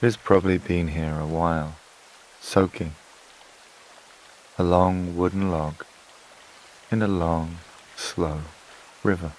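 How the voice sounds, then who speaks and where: A man narrating a scripted sleep meditation in a calm, soothing, unhurried way, with long pauses between phrases. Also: low-pitched, slight background noise, rough recording. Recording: water and rain sounds behind voice. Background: flowing stream, rain-like ambience.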